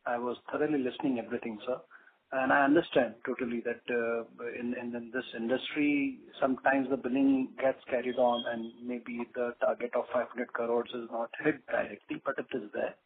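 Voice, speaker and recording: A man's voice: mid-range voice, flat delivery, fairly quick. A man, an investor on an earnings call, wordy and full of praise, with many restarts and fillers. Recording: phone-line call audio with faint noise.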